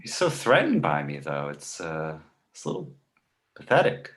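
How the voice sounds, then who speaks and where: A man's voice: rumbling low, wildly swooping pitch, moderate pace, fairly bright tone, exaggerated voice. A man playing a sarcastic baby boy, echoey room. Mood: dry, curious. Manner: dry, mocking, mock-innocent. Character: sarcastic, precocious baby boy needling his father. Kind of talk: animated comedy pilot table read.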